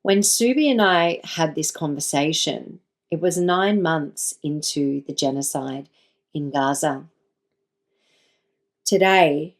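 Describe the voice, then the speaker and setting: A woman hosting a podcast, reading a scripted intro and asking questions in a measured, deliberate way. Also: low voice. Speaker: podcast host.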